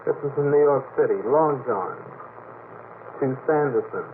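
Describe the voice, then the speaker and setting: A man with a medium-pitched voice reads listener messages on air. His delivery follows the clipped wording of telegrams, phrase by phrase. The recording is a narrow, faintly noisy vintage radio broadcast. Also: normal speed. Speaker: radio show regular reading listener telegrams. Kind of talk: reading telegrams aloud on air. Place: radio studio. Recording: noisy, phone-like old 1950s radio recording.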